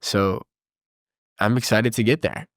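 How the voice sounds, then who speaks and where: A man's voice: on the low side, fairly even intonation, unhurried, dry recording, clean studio sound. A man with a stuffy nose, talking earnestly and enthusiastically in a casual spiritual conversation. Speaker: podcast host.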